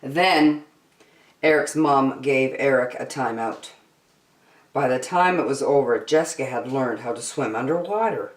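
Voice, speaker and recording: A woman reading a children's picture book aloud as host, deliberately phrase by phrase, in an echoey room. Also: rumbling low. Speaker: storytime host and reader. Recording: roomy echo.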